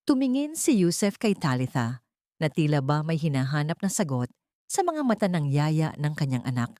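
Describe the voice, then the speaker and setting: A Filipino woman reads a dramatic story as an audiobook narrator. Her voice sits a little low for a woman, and the sound is dry, with little room in it. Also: very animated, moderate pace, mellow, no echo.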